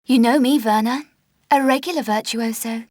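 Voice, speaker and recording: A young adventuress, played by an actress in a video game. Her voice is high and cheeky, every line wry and sarcastic. It rings in a long, cavernous reverb. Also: normal speed, darkish tone, natural voice. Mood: cheeky, confident. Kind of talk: video game character dialogue.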